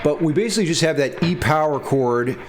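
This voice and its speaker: A man's voice: on the high side, lively intonation, fairly quick. A man giving a casual, informal music theory lesson as a guitar instructor, thinking aloud as he demonstrates. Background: electric guitar playing.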